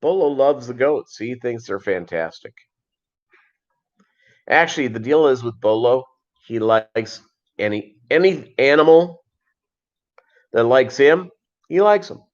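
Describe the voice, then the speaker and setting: A man hosting a casual livestream, chatting and answering viewers in a rambling, halting, conversational way. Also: medium pitch, expressive. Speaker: livestream host. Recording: dull, lo-fi livestream audio.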